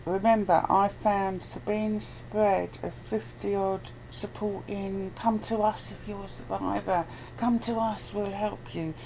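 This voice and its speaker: A woman with a noticeably creaky, medium-pitched voice argues her point in an informal conversation. She is insistent and conversational, recorded with faint noise behind her. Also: fairly even intonation, measured pace, hardly any pauses, darkish tone, rough recording. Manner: insistent, argumentative. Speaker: interviewer in conversation. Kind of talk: casual debate.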